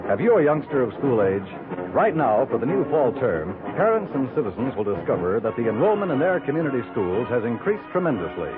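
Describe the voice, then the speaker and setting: Old time american radio announcer guy, very lively pitch, formal, music behind, narrow tinny broadcast sound. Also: fairly quick. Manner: formal, declamatory. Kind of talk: scripted 1950s radio announcing. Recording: narrow-band 1950s radio broadcast recording.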